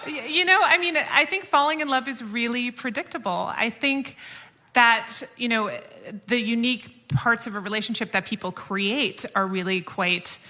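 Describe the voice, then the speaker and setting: A woman's voice: a little high, moderate pace, sharp tone. A woman speaking as an author and interview guest, candid and informal, making her points with emphasis in a conversational way.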